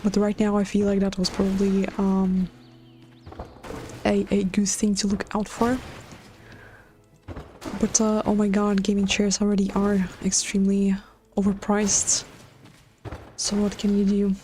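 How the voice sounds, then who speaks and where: Flat, mellow woman with a Latvian accent. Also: mid-range voice, measured pace, long pauses, a little hiss or hum. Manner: hesitant, many filler sounds and restarts. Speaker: streamer. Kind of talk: casual live-stream chat while building in a game.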